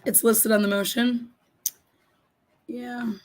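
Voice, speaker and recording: A middle-aged woman hosting a casual livestream, hoarse and raspy, reading viewer comments and ranting bluntly with lots of swearing. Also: fairly high pitch, level tone, slow pace. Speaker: livestream host.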